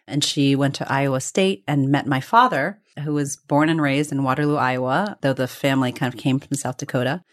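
A woman's voice: a bass voice, fairly even intonation, a little fast, hardly any pauses. A woman being interviewed, telling personal stories conversationally in a reflective, discursive way, often qualifying and correcting herself. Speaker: interview guest.

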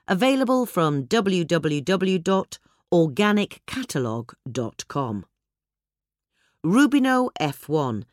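A woman reading a gardening article aloud in a measured, clear scripted narration. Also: deep voice, no room sound, studio quality. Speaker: reader.

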